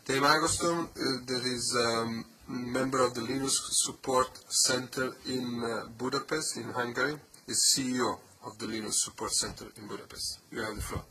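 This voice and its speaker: A man chairing a conference session, introducing speakers in a halting way. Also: medium pitch, fairly even intonation, bright, forceful tone, slight background noise, rough recording. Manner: halting, unsure of names.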